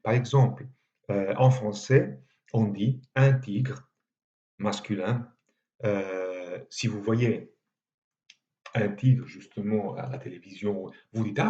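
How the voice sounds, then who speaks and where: A man with an Italian accent gives a measured university lecture in a low, mellow voice. He pauses often with small hesitations as he works through each point. The recording is dull and lo-fi.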